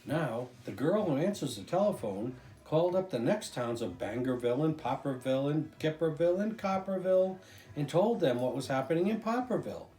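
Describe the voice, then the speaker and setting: Older man, dramatic picture-book storyteller; soft-edged mellow tone, roomy echo, music behind. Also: fairly high pitch, a little fast. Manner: expressive, dramatic, with occasional stumbles. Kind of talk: animated children's picture book reading.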